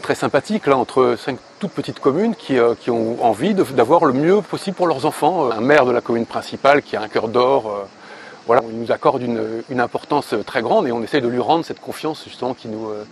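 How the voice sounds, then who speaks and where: A man's voice: mid-range voice, fairly quick. A man giving casual interview testimony as a building design professional, colloquial and often repeating words. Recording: noticeable ambient background noise.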